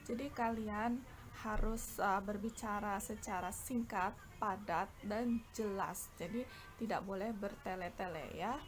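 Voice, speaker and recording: A woman with an Indonesian accent explaining a bilingual lesson as an English teacher, often stretching out her words. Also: fairly high pitch. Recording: clear background noise.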